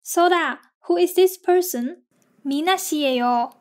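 A woman slowly reading lesson phrases and their translations with an even, spaced delivery. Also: very high-pitched. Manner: slow, evenly spaced delivery. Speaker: lesson reader.

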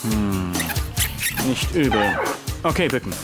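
An actor playing a caustic senior doctor who talks in a mocking, bossy way in a dubbed comedy series. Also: male voice, on the low side, animated, slow, loud music behind the voice. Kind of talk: German-dubbed TV comedy acting.

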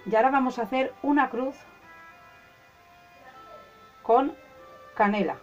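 A woman calmly explains a home ritual step by step, talking to her viewers as friends. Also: high-pitched, unhurried, highs cut off, soft background music. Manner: calm, instructive, addresses viewers as friends. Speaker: ritual channel host. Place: indoors at a table, hands only in shot.

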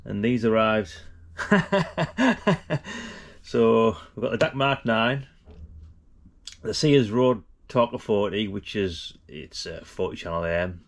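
A man's voice: lowish voice, slow pace, pauses often. A middle-aged man, chatting casually and informally as he hosts a vlog. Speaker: vlog host.

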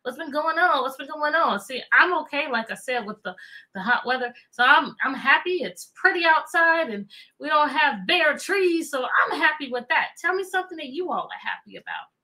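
A middle-aged American woman hosting a chatty, interactive livestream for children, animated and repeating phrases and questions to draw listeners in. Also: high voice, moderate pace, low-quality recording. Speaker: teacher, children's read-aloud host. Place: indoor room with bookshelves.

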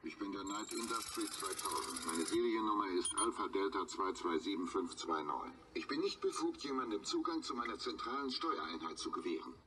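Through a distant speaker with clear background noise comes a man acting as a talking car computer. It is formal, precise and courteous, with a dry wit and a low register. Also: very animated, a little fast, hardly any pauses, pushed and bright. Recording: distant car speaker, noisy outdoor pickup.

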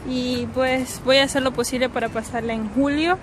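High-voiced Ecuadorian woman vlogging outdoors. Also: steady tone, normal speed. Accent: Ecuadorian Spanish. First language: Spanish. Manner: chatty, giggly. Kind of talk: casual vlog chat. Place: outdoors on a riverside path at night. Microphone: handheld phone or camera. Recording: music behind, handheld outdoor recording.

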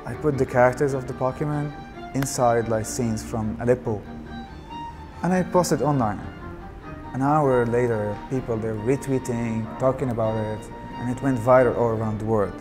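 A man in his thirties with a Syrian Arabic accent talks slowly and thoughtfully in a documentary interview. His voice sits at an ordinary male pitch. A clear music bed plays under his words. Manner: soft-spoken, reflective, with restarts. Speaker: artist. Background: background music.

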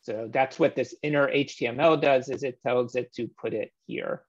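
A man in his thirties walking through code on a shared screen, relaxed and explanatory with frequent "um" fillers, heard over a video call. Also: medium pitch, normal speed. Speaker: meetup presenter. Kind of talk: screen-share coding walkthrough. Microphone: webcam or laptop mic on a video call. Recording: slightly dull video-call audio.

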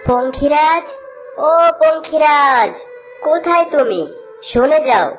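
A woman's voice: high voice, slow, music in the background, low-quality recording. A woman voicing an earnest, polite young prince in a cartoon fairy tale, slightly declamatory. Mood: calling out.

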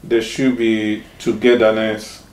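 A man's voice: on the low side, completely flat delivery, slow pace, darkish tone, roomy sound, poor audio. A man hosting an interview, prompting his guest with short leading questions in a living room. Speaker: interview host.

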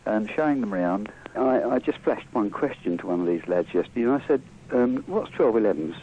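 An older man talking hesitantly and haltingly as a caller in a casual radio discussion. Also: lowish voice, lively intonation. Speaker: employer calling a radio phone-in. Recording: dull, noisy radio phone-in.

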